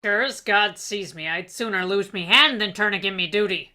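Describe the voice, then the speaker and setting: A loyal, defiant seaman played by a woman speaks up in a radio adventure. The voice is extremely forceful and sharp, with rustic sailor phrasing and a medium pitch. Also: normal speed, lightly acted. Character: defiant, loyal honest sailor facing death. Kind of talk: radio play acting.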